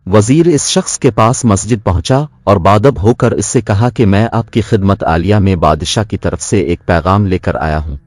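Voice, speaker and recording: A man narrating a scripted moral story as a storyteller, in a measured, earnest way. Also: lowish voice, a little mellow, no room sound, studio quality.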